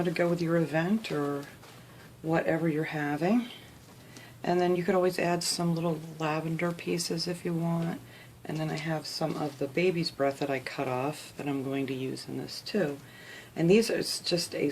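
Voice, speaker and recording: A woman hosting a casual craft tutorial at home, chatting in a relaxed way with lots of "you know", and sounding a little sniffly. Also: sits low, slight background noise. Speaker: craft video host.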